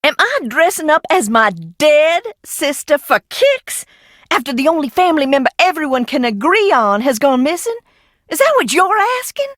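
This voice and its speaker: Wildly animated, bitter eccentric heiress. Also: female voice, high-pitched, normal speed, dry recording, studio quality. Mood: indignant. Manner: theatrical, sardonic, swinging from singsong teasing to hushed menace. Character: eccentric, bitter, mischievous Southern heiress, secretive asylum graduate. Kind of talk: video game character acting.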